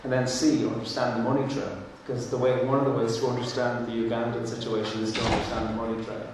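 A man's voice: mid-range voice, little pitch movement, fast talker, slight background noise. An Irish man whose first language is Irish, speaking earnestly and thoughtfully on a panel, with frequent restarts. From Ireland. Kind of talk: panel discussion. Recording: echoey hall from far off.

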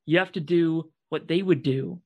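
A man's voice: on the high side, normal speed, phone-quality sound, close to an everyday voice. An intense young man in a radio drama, played by a male actor. He is distraught and angry, a climate radical who presses his case insistently and accuses as he goes. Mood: impassioned.

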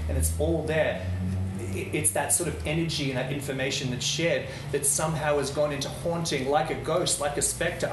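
A man who grew up in the Netherlands speaks to a small group in a passionate, rambling way, restarting his sentences often. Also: on the high side. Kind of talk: earnest unscripted talk. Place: room with a live audience. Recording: roomy, distant mic, noisy.

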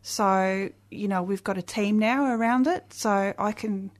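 A woman with a loud voice, a guest on a radio interview, talking eagerly with many restarts and fillers. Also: mid-range voice, a little slow. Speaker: guest, community festival organizer.

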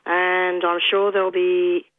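A radio host of middling pitch running an interview, talking casually with loose, filler-heavy phrasing. The audio is dry and close, with no room around the voice. It has a thin, phone-like tone. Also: monotone, slow pace, dry recording.

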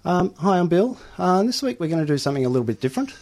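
A man's voice: sits high, big pitch swings, very fast, darkish tone. A man hosting a community radio show, introducing his guest in a hesitant way full of uhs and ums. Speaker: radio host. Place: radio studio.

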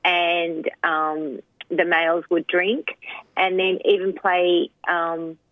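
A woman in her sixties, from Sri Lanka, telling her life story in an interview, soft-spoken and hesitant with many pauses. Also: lowish voice, little pitch movement, no room sound. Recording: dry, phone-like narrow sound.

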